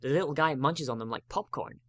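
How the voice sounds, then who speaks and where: A man's voice: on the high side, brisk. A man giving a dramatic first-person reading of a story as narrator.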